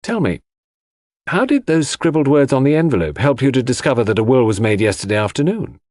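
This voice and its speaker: A man plays a detective's gentleman companion in an audiobook, loyal and self-assured. He sounds polite and earnest with a skeptical edge, his intonation lively and the sound dry. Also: medium pitch, a little fast, no echo. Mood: skeptical, curious. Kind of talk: audiobook character dialogue.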